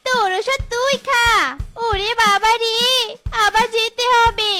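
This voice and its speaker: A woman's voice: extremely high pitch, fairly even intonation, slow, over loud music. A woman voicing an indignant, desperate cartoon patient with a frantic, scolding way of talking. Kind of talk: cartoon comedy dubbing.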